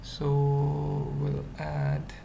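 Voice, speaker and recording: A very slow speaker with heavy vocal fry presents an unscripted coding screencast. The delivery is halting, in short fragments, as if thinking aloud. Clear background noise and keyboard typing sit behind the voice. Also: medium pitch, completely flat delivery. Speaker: web development tutorial presenter. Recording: noisy mic, keyboard typing. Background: computer keyboard typing.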